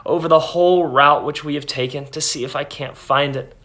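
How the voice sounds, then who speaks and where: An earnest young husband, urgent and worried, talking in a bright, slightly raised voice, as a male reader plays him. He sounds practical and steady under the strain. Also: a little high, a little fast. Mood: grave.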